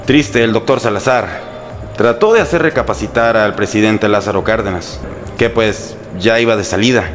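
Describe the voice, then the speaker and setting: Low-voiced sarcastic Mexican man narrating over music. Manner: sarcastic, irreverent, colloquial. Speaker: host and narrator. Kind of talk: humorous scripted history narration. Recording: music bed under the voice. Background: background music.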